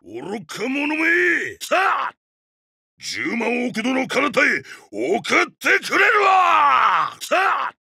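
A ruthless, arrogant old martial-arts master from a fighting game, who barks his taunts in a gravelly, husky rasp. His voice rides high and strained and swings wildly in pitch. He drags his words out slowly between sudden shouts. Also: wildly swooping pitch, full character voice. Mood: boastful. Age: older. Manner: barks short commands and taunts, booming laugh. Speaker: video game character. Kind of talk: fighting game voice lines and battle cries.

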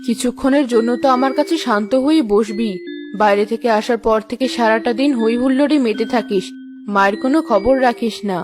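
A woman playing a gentle, motherly, ailing queen in a fairy-tale cartoon. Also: a little high, brisk, soft background music, natural voice. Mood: fond scolding.

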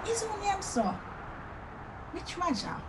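Actress doing whiny jamaican girl, super high voice, far off with traffic noise, radio drama. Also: sing-song, slow, full character voice. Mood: puzzled. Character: sulky, complaining young granddaughter. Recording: distant voice, clear traffic noise.

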